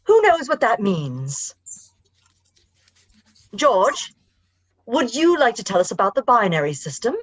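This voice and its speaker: A woman playing a brisk schoolteacher addressing her class in a script reading of a radio drama. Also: a little high, huge pitch swings, exaggerated voice. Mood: instructive.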